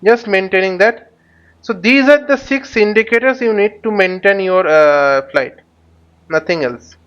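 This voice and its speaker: A man teaching a flight simulator tutorial, speaking haltingly and often repeating words as he explains. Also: high-pitched, fairly bright tone, clean tone. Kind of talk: spoken tutorial while flying a simulator. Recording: dull lo-fi microphone sound.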